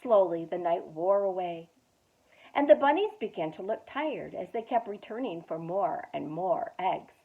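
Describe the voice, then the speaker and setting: A woman youth director reading a children's storybook aloud, expressive with dramatic pauses. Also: lowish voice. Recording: tinny phone-like audio.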